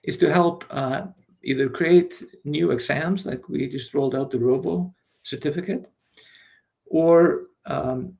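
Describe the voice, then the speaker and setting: A man in his forties, born in Iceland, talking as a guest in a relaxed, hesitant way with many fillers over a video call. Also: on the high side, unhurried, vocal fry. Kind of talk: casual interview.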